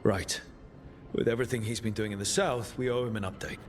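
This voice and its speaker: A man's voice: on the low side, steady tone, fairly quick, lightly acted. A man acting a resolute, plain-spoken leader of adventurers in a video game. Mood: determined.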